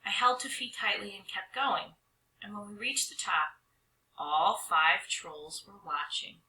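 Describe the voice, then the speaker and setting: A woman reading a children's storybook aloud with expressive, dramatic narration, stretching some words and hushing others. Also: mid-range voice, unhurried. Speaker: storybook reader. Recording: roomy echo.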